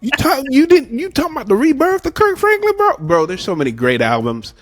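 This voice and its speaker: A man's voice: extremely high pitch, huge pitch swings, fairly quick, no echo, clean studio sound. A man co-hosting a reaction talk show, giving earnest advice and trading playful banter. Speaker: podcast co-host. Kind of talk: casual reaction chat.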